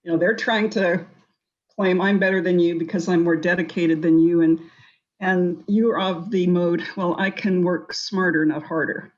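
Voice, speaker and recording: A woman's voice: fairly low pitch, steady tone, normal speed, highs cut off. A woman giving advice on a discussion panel in an assertive, wry and humorous way. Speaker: round table panellist.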